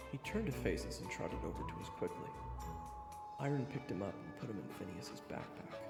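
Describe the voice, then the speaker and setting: A brash, wry adventurer, acted by a man tells his own fantasy story with sarcastic asides. His low, gravelly voice leaps around with great animation and sits in a reverberant, echoey sound with music underneath. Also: very animated, fairly quick. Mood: observant, wry. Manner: informal, wry, exclamatory. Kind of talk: first-person story narration.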